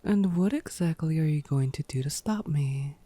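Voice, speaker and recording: A woman's voice: deep voice, moderate pace, dark tone, husky. A woman playing a sardonic, teasing vampire in an ASMR roleplay, speaking with exasperated sarcasm and casual swearing. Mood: teasing, sarcastic. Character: sardonic, teasing female vampire, wounded and grumbling.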